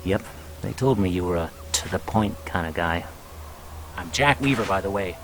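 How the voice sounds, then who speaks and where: American intelligence officer, lowish voice, chatty briefing, persuasive, video game, faint background noise. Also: male voice, expressive. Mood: briefing, wry. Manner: conversational, hesitant pauses, briefing.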